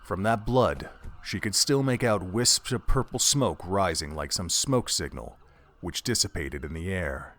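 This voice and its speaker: A male narrator with a low-pitched voice. He delivers scripted, dramatic prose for an audio drama, told like an audiobook. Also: animated, measured pace, hardly any pauses, a bit bright.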